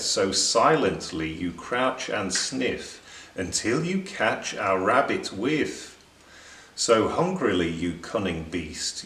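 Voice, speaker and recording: A man's voice: medium pitch, a bit bright, full character voice. Man as a dreamy rhyming rabbit in a roomy echo. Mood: dramatic awe. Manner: singsong, deliberate verse recitation. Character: dreamy, earnest young rhyming rabbit reciting poems. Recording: roomy echo, distant microphone.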